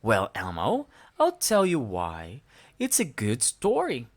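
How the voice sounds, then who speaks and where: Woman as monster dad, patient, gentle, unhurried, expressive pitch, bedtime storyteller. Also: exaggerated voice. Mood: fond. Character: patient monster father telling a bedtime tale.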